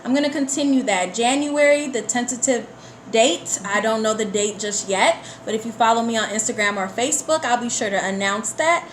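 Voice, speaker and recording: Female guest, fairly high voice, earnest motivational talk, casual, echoey room, faint noise. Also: a little fast. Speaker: interview guest, poet. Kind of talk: casual interview chat. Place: indoor room at a live online broadcast. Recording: roomy live sound, faint noise.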